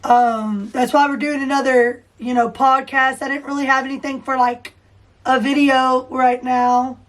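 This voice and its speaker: A hoarse woman hosting a casual podcast, rambling with fillers and restarts. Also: high voice, level tone, a little slow. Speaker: podcast host. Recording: voice distant from the mic.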